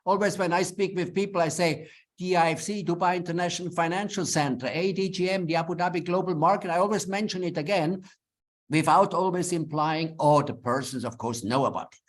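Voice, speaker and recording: A man's voice: high voice, brisk. A middle-aged man from Switzerland with a Swiss German accent, English not his first language, giving earnest, plain-spoken advice in a webinar talk with frequent restarts. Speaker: webinar speaker. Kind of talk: advisory talk to lawyers.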